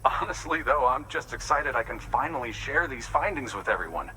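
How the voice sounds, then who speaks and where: An actor playing an anxious, grateful fossil researcher devoted to his work in a video game cutscene, with an expressive, confiding delivery. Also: mid-range voice, fairly quick, distant mic, slight background noise, rough recording. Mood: excited.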